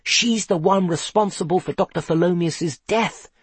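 A man voicing an earnest, excitable boy who plays amateur sleuth in a children's story, anxious and blustering. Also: high voice, moderate pace, husky, dry recording, voice pushed up.

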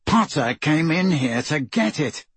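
A man's voice: on the high side, expressive, a little slow, a bit bright, husky, no echo, full character voice. A man voicing Draco Malfoy, an arrogant teenage boy wizard with a cold, clipped, superior manner, in an audiobook reading. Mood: impatient.

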